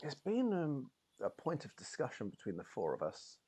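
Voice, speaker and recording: A man voicing a polite young male scholar who pauses often and speaks with many fillers. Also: mid-range voice, wildly swooping pitch, dark tone, breathy edge. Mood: musing. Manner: hesitant, many ums.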